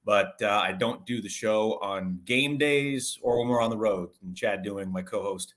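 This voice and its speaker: A man with a fairly low voice speaks as a sports radio broadcaster in an interview. He explains things in detail and conversationally, often building a point in short, broken phrases. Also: normal speed, fairly bright tone.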